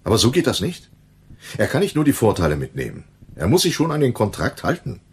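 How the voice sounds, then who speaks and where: A shady male dealer in a radio crime drama, sardonic and condescending. His voice is fairly low and husky, with very lively rises and falls in pitch. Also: fairly quick, full character voice.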